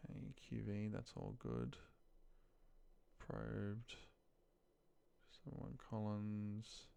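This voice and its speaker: Deep, creaky-voiced man thinking aloud. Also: animated, extremely slow, warm tone. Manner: muttering to himself in short phrases. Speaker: office trainer showing a data task. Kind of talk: casual screen-share walkthrough, thinking aloud. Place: indoors at a computer, screen recording.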